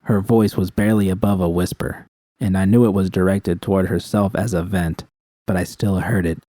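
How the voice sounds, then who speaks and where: Low, mellow male story narrator, dry sound. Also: steady tone, moderate pace, dry recording. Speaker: audiobook narrator. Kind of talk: first-person scripted story narration.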